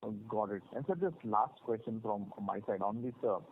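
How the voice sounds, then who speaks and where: A man with an Indian accent asking analyst questions on a conference call, in halting, filler-heavy phrasing. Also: on the low side, lively intonation, husky. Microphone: telephone conference line. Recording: narrow phone line with faint noise.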